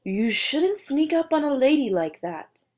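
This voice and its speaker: A woman's voice: high-pitched, big pitch swings, unhurried, darkish tone, pitched above the performer's own voice. Woman as a prim, dainty lady cockroach. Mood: dry disdain. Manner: dry, prim, ladylike. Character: proud, dainty young female cockroach being courted.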